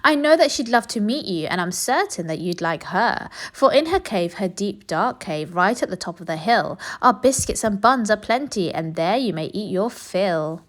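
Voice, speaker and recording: Woman voicing a clever young duck, high, bright, coaxing, storybook. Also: lively intonation, moderate pace, few pauses, close to an everyday voice.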